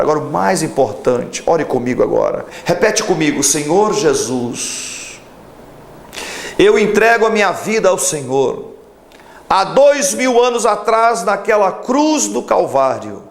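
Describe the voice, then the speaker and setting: A man's voice: on the high side, creaky. A pastor in his forties preaching a televised sermon and leading a fervent prayer, stretching out emphatic phrases. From Brazil. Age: 40s.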